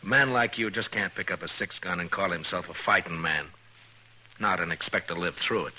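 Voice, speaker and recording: An actor playing a firm, concerned frontier marshal in a 1950s radio western, plainspoken and probing. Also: male voice, low-pitched, fairly quick, bright, forceful tone, exaggerated voice, poor audio. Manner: plainspoken, hesitant lead-ins, probing questions. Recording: old 1950s radio recording, faint noise.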